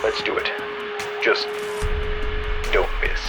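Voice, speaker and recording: An actor playing a terse warship captain in a sci-fi audio drama, speaking in clipped military phrases. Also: male voice, slow, lightly acted. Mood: grave, wary. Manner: clipped, military, matter-of-fact. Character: terse male warship captain of a damaged ship, steady under pressure. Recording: loud music behind.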